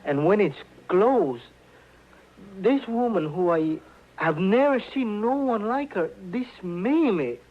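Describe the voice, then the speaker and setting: A man playing a humble, chatty, sheepish townsman who speaks halting English with a Mexican accent in a radio western. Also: high-pitched, expressive. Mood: spooked. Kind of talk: old-time western radio drama acting. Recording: dull, hissy old radio recording.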